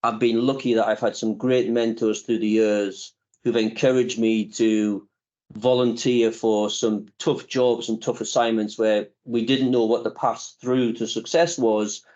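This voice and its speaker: A man in his fifties, a company chief executive, answering interview questions in a measured, reflective way with frequent pauses. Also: lowish voice, little pitch movement, darkish tone, muffled recording.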